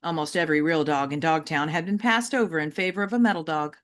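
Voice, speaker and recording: A woman reading a children's novel aloud like an audiobook, with deliberate, emphatic and varied phrasing. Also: low-pitched. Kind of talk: expressive audiobook-style storytelling for children.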